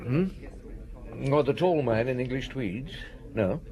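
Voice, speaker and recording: An actor playing a measured, probing police chief inspector in a radio drama, dry and reserved, asking terse questions. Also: male voice, mid-range voice, animated, mellow, natural voice. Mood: inquisitive. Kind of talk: radio drama dialogue and narration.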